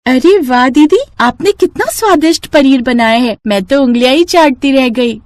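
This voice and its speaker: A woman voicing an excitable, food-loving little sister in a cartoon story, eager and bubbly. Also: high-pitched, moderate pace, voice pushed up, natural voice. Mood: delighted.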